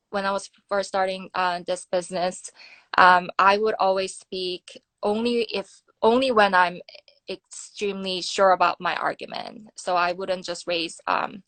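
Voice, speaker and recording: A woman's voice: fairly low pitch, gravelly, a bit bright, no echo. A woman with a Korean accent, a native Korean speaker, answering interview questions as a guest on a video call, thoughtful with many ums and uhs. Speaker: guest, nuclear security fellow.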